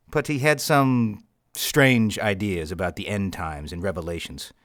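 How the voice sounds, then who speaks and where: A grave, learned bishop in an audio drama. His voice sits fairly low and is recorded dry. He explains things in measured, formal phrases with a weary, dismissive edge. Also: no room sound.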